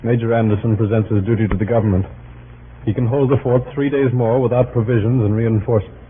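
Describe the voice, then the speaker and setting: An actor playing a dutiful, plainspoken military messenger giving a clipped, formal report in an old-time radio drama. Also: male voice, mid-range voice, steady tone, fast talker, natural voice. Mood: dutiful, tense. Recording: noisy, narrow-band vintage radio broadcast.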